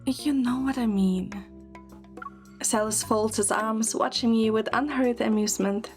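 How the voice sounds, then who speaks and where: A woman's voice: a little high, a little slow, faint music behind. A woman performing scripted first-person narration and lead dialogue in an audio drama.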